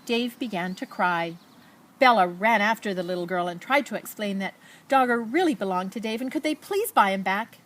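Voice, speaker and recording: Woman reading a children's storybook expressively. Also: medium pitch. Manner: expressive, dramatic emphasis and pauses. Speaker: storybook reader. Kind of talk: children's picture book read-aloud with casual asides.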